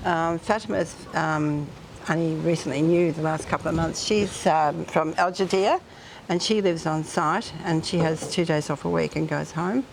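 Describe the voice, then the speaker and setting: A middle-aged Australian woman talks in a relaxed, rambling way, her voice fairly low and gravelly with fry. She pauses often on ums while she explains things. A clear hiss of outdoor noise sits under the recording. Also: poor audio. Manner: chatty, rambling, many ums. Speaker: hotel co-owner. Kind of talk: casual interview chat.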